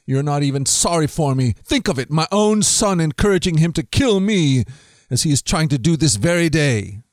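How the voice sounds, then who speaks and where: A man reading aloud, voicing an angry, suspicious king who shouts accusations at his men. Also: fairly high pitch, normal speed, no room sound, studio quality, pitched above the performer's own voice, natural voice. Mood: furious. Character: furious suspicious old king, male.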